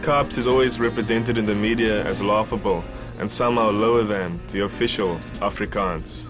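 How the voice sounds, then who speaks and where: A man narrating his own documentary in a measured, reflective voice-over. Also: lowish voice, fairly even intonation. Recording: muffled voice-over under music.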